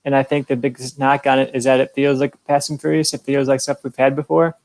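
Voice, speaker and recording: A man's voice: medium pitch, flat delivery, fairly quick, darkish tone, dry recording. A man in casual conversation, giving his opinion as a podcast judge. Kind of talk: casual chat.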